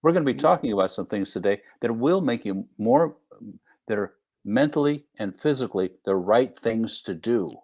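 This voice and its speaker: A man's voice: lowish voice, expressive, normal speed, darkish tone. A man speaking as a guest doctor in a live discussion, explaining things in emphatic, declarative statements.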